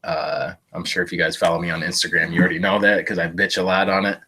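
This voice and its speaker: A man's voice: sits low, flat delivery, fast, bright, forceful tone, slightly rough. A man with a stuffy, congested voice chatting casually on a live stream about thrift finds, rambling with lots of fillers and self-deprecating jokes. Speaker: thrift reseller streaming a haul. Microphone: live-stream setup.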